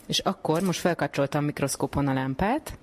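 A science radio reporter who interviews and narrates in a reportage style. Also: mid-range voice.

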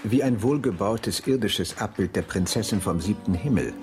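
An actor playing a suave, cynical aristocratic marquis in a radio play, speaking in an urbane, ornate, mock-solemn way. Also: male voice, on the low side, normal speed, darkish tone, close to an everyday voice. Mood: urbane, amused. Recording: music under the dialogue. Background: music.

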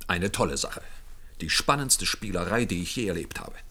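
A skeptical army general in a science-fiction radio play. He is jovial and condescending, with a fairly low voice and a blustery, dismissive delivery. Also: lively intonation, normal speed, bright, forceful tone, exaggerated voice. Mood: amused, dismissive. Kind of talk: German science-fiction radio drama acting.

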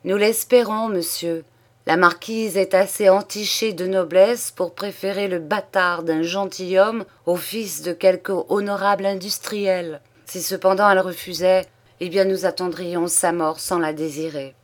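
A woman voicing a dignified young nobleman who answers in a steady, composed tone that hardens into quiet warning, read in an audiobook. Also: on the low side, a little slow, lightly acted. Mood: calm, respectful. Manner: quiet, composed, turning firm and menacing. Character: calm, dignified young nobleman, unshakably firm.

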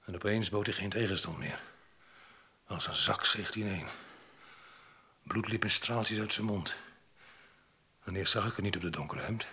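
An actor in a radio drama playing a rough, haunted man with a husky, gravelly voice and a halting, fragmented way of talking. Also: lowish voice, pushed and bright, heavily acted. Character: rough, haunted man confessing a violent past. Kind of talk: Dutch radio drama acting.

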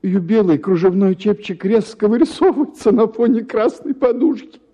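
An actor playing an anxious, doting young husband in a radio play, with a fussing, stammering manner. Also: male voice, sits high, normal speed, dark tone.

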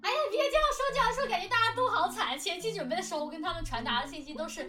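A woman's voice: extremely high pitch, animated, rapid-fire, far from the mic, music in the background. A woman hosting a casual chat with colleagues in a playful, teasing manner, often close to laughter. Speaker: host and production team lead.

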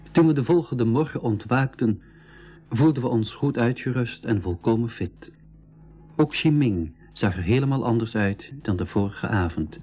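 A man playing the narrator of a school radio play, telling of his travels in measured storytelling. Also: mid-range voice, natural voice. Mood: calm. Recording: dull lo-fi 1980s radio recording.

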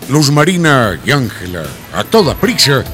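A man's voice: mid-range voice, big pitch swings, normal speed, close to an everyday voice. A man reading scripted narration for a radio drama in a storytelling role. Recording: music behind narration.

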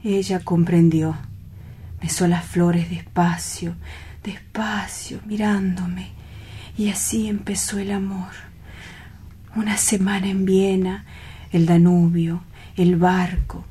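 A dreamy, heartsick romantic man played by an actor in a radio play. He speaks slowly and softly, often sinking to a breathy half-whisper, with intense, wistful lyricism. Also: sits high, flat delivery, heavily acted. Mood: dreamy nostalgia. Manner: lyrical, wistful, drawn-out reminiscing.

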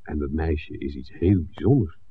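An actor playing a wry, teasing screenwriter with drawling ironic asides in a 1960s Dutch radio drama. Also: male voice, a bass voice, moderate pace, husky, exaggerated voice. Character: wry, teasing male screenwriter, good-humored companion. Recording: muffled, narrow old radio recording.

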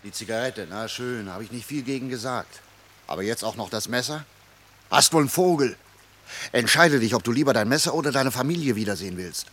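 A man's voice: medium pitch, expressive, a little fast, a bit bright. An actor playing a bossy, cocksure fugitive man who scolds and lectures his comrade impatiently, in a German radio play. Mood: impatient, scolding.

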